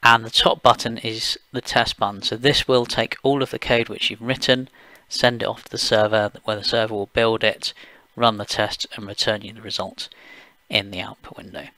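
A man from the UK talking through a software screencast step by step, plain and explanatory, with occasional fillers. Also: fairly low pitch, level tone, moderate pace, few pauses, no room sound. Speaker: software engineer giving a tutorial. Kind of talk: screencast walkthrough voice-over.

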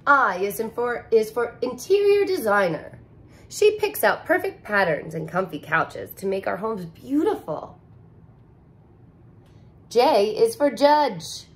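A woman in her thirties, a teacher, reading a picture book aloud to young children in an expressive, sing-song way, recorded close to the camera in a living room. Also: on the high side, slow. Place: living room with couch, indoors.

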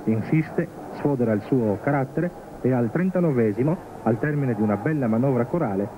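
A male reporter narrates football highlights from a prepared script. His mid-pitched voice comes through thin and phone-like, with clear noise, like an old television broadcast. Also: expressive, a little fast. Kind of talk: scripted match highlights voice-over commentary.

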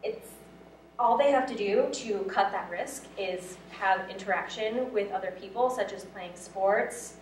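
A woman's voice: medium pitch, measured pace, far from the mic. Young woman presenting in an echoey room. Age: young adult. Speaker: student presenter. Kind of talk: prepared presentation. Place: lecture room, indoors. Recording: echoey room from afar, faint noise.

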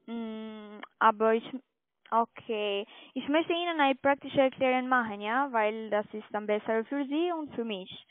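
Female telesales caller, high voice, non-native speaker with halting grammar, tinny phone line. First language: not German. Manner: halting, ungrammatical German. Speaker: telephone sales caller. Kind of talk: cold-call sales pitch.

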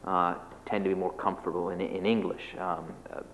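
A man in his thirties, from Cuba, answering questions as a panelist in a large lecture hall, speaking in a measured way and often repeating his first words. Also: low-pitched, flat delivery, fairly bright tone, a little hiss or hum. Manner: measured, repeats words while starting phrases. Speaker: panelist, magazine publisher. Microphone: table microphone. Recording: long hall reverb, faint ambience.

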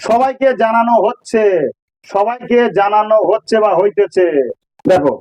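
A man's voice: high-pitched, steady tone, normal speed, darkish tone, a little hiss or hum, low-quality recording. A man with a Bengali accent teaching a grammar lesson informally, explaining step by step and repeating phrases for emphasis.

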